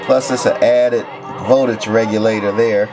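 A man's voice: on the low side, steady tone, moderate pace, over a noisy crowd. A man giving a casual equipment walk-through in terse, matter-of-fact phrases, recorded indoors from behind a camcorder. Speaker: radio seller showing equipment.

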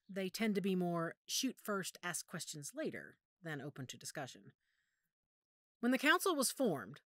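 A woman playing a knowledgeable werewolf pack member in an audio drama, speaking in a measured, authoritative, explanatory way. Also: on the low side, a bit bright, dry recording, clean studio sound. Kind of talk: audio roleplay drama acting.

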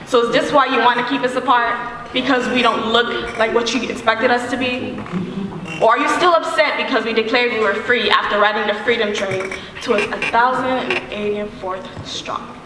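A young woman performing spoken word poetry on a theater stage, declaiming emphatically with deliberate repetition. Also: on the high side, fairly even intonation, crowd noise. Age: young adult. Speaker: student spoken word poet. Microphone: microphone on a stand. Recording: very echoey hall, distant, faint noise.

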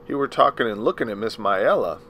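A man voicing a polite, frightened farmhand on a witness stand, speaking softly and hesitantly. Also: lowish voice, animated, a little fast, slight background noise. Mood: pleading. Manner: polite, hesitant, soft-spoken. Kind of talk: audiobook character reading.

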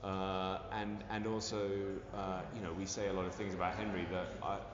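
A man in his forties, an actor giving measured, deliberate interview answers, seated indoors before a distant camera. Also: deep voice, level tone. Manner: measured, deliberate, with "you know". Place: indoor room on a sofa. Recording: echoey hall, dull sound, crowd noise.

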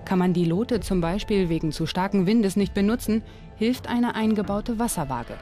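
Female documentary narrator, scripted read, soft mellow tone, music underneath. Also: mid-range voice, normal speed. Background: background music.

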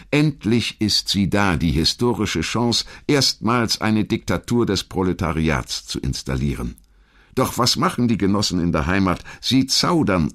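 A man reading scripted documentary narration in a measured, dramatic storytelling manner. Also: sits low, fairly bright tone.

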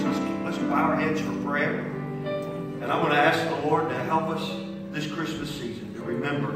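A middle-aged American man, a pastor speaking simply and directly to children in a church sanctuary. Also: medium pitch. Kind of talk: children's sermon with Bible reading and prayer. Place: large church sanctuary stage, indoors. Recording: echoey hall from far off, music behind. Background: instrumental music.

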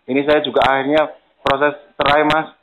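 A man's voice: mid-range voice, level tone, normal speed, background noise. A man making a prank phone call, talking politely and insistently, as if pleading. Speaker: prank caller. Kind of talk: prank phone call, playing a grateful victim.

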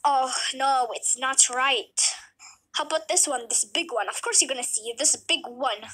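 A woman voicing a calm, soothing doctor in a homemade comedy skit, speaking slowly and hesitantly. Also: high voice, slightly rough, heavily acted, rough recording. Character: low-voiced calm doctor, adult.

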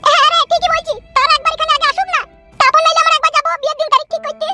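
Squeaky, snappish village mother. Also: fairly even intonation, very fast, loud music behind the voice. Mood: brisk, reassuring. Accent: rural West Bengal. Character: touchy, nagging village wife and mother. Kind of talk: comic cartoon dubbing.

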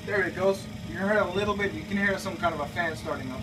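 A man's voice: sits high, quick pace, recorded from a distance, over music, low-quality recording. A man in his thirties from Norway, chatting casually in his home-built cockpit simulator, full of fillers and restarts while his son films. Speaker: flight simulator builder. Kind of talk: casual video request. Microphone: camera held by his son a few feet away.

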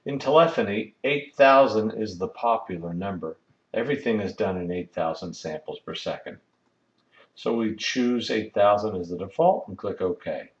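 A man's voice: lowish voice, normal speed, roomy sound, dull audio. A man giving a methodical, step-by-step technical lesson as an instructor. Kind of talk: explanatory screen-recorded lesson.

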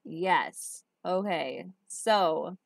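A woman's voice: on the low side, very slow, gravelly, no echo, studio quality. A woman in her thirties casually chatting through a life-simulation game, sniffly and congested, on a headset at home. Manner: chatty, thinks aloud, sniffly from allergies. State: stuffed-up nose. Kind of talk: casual gameplay commentary. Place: home room at a desk. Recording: game music under the voice. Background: game music.

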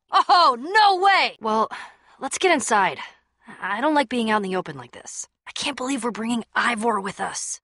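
Feisty, sarcastic young female game hero, bright and high, casual teasing delivery, dry close sound. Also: no room sound, full character voice. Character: Petra, feisty, sarcastic, brave young woman adventurer. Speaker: video game voice actor. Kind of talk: animated game dialogue.

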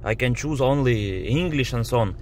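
A man with a Lithuanian accent, a native Lithuanian speaker, complains in a car about voice input while showing his phone and dashboard screen. He speaks in halting English with many fillers and sounds frustrated. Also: mid-range voice, darkish tone, a little hiss or hum. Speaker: driver demonstrating a car app. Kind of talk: casual complaint video. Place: inside a parked car.

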